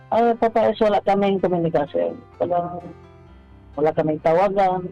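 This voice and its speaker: A man's voice: high voice, normal speed, gravelly, dark tone. A man calling a radio show, answering politely, briefly and hesitantly over a lo-fi line. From Philippines, Bohol. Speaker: caller. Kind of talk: personal account on radio phone-in. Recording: dull, lo-fi phone call. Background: faint music.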